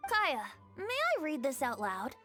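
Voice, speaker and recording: A whimsical young bard with a high, boyish lilt. The delivery is airy, playful and very animated, theatrical video game acting over faint music. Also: female voice, unhurried, heavily acted.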